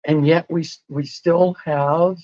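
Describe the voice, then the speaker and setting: A man's voice: on the high side, fairly even intonation, takes it slowly, darkish tone, dry recording, highs cut off. A man in a casual podcast conversation, explaining whole foods in a patient way and laughing often. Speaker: lifestyle medicine advocate.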